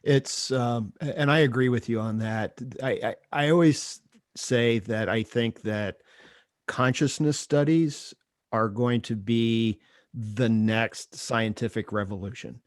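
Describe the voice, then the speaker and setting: A man's voice: mid-range voice, slow, no echo, clean studio sound. A man hosting a podcast interview, agreeable and giving brief backchannel replies. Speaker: podcast host. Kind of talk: casual interview conversation.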